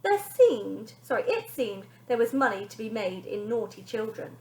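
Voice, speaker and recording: A woman teacher reading a children's story aloud in an expressive, dramatic storytelling style. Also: fairly high pitch, normal speed. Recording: echoey room.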